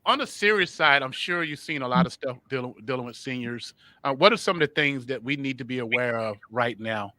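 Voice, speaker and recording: A middle-aged man hosting a radio interview, asking questions in a playful, flattering way. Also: mid-range voice, fairly quick, hardly any pauses. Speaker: radio host.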